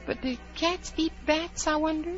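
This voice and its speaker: Woman doing a dreamy little girl, high voice, thinks out loud, old muffled radio play with music behind. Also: steady tone, moderate pace, natural voice. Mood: wondering, chatty. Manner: wondering aloud to herself. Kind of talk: 1940s radio acting.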